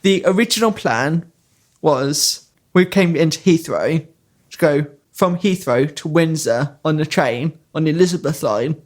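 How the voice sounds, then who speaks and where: A man's voice: fairly high pitch, animated, measured pace. A man chatting casually on a podcast, telling rambling anecdotes with a laugh here and there. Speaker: podcast co-host. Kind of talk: casual storytelling.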